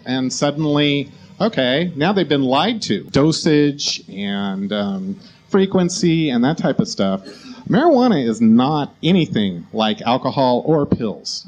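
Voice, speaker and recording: A man's voice: medium pitch, a little mellow, husky, muffled recording. A man explaining his view informally on a talk show panel.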